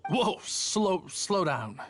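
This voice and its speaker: A man's voice: high-pitched, very animated, extremely slow, a bit bright, soft background music, heavily acted. An actor playing an earnest, self-important male town guard telling his story dramatically in a video game. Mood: dramatic, boastful.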